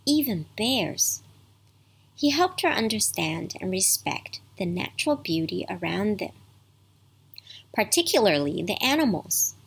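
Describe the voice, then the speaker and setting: A woman storyteller reads a bedtime story at an unhurried pace. Her voice is husky and sits at a medium pitch. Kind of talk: scripted bedtime story narration.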